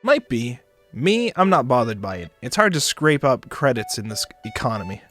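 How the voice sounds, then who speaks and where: A man plays a wry, broke shuttle passenger in a visual novel, laid-back and casual. The voice is mid-pitched and dry-humoured, with faint music behind it. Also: very animated, normal speed, fairly bright tone. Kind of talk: visual novel character reading.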